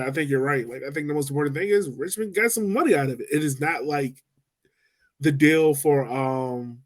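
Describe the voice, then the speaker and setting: A man co-hosting a casual sports podcast, talking in an animated way with quick asides. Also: mid-range voice. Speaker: podcast co-host.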